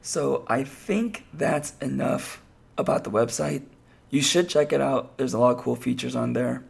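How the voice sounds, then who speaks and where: A man with a hoarse, raspy voice, hosting a podcast and chatting casually on his own, rambling with lots of fillers and restarts. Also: medium pitch, unhurried. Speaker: podcast host. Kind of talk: casual solo podcast talk.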